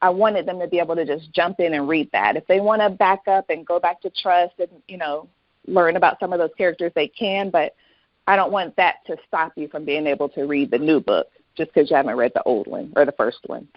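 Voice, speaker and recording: A woman's voice: sits low, fairly quick, no room sound, dull audio. A woman speaking as a guest author in a casual interview, explaining things in a conversational way.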